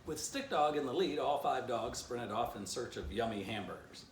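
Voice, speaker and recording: A man's voice: mid-range voice, normal speed. A man reading a children's storybook aloud expressively as its author, with casual asides to the listener. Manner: animated, showing illustrations between passages. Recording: roomy echo, distant mic, faint noise.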